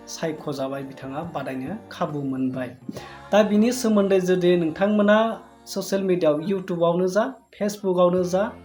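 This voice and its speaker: A young man gives a talking-head vlog, his voice a little higher than most men's. He speaks slowly and earnestly, close to a phone in a small plain room. Faint music plays beneath him. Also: darkish tone. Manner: slow, earnest storytelling to viewers. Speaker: vlogger.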